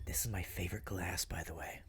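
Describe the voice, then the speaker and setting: A man's voice: low voice, fairly even intonation, a little fast, in a whisper. A man giving casual, rambling commentary as a reaction host, emphatic, often tagging on "right?" and swearing freely. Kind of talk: casual spoken commentary on an audio drama.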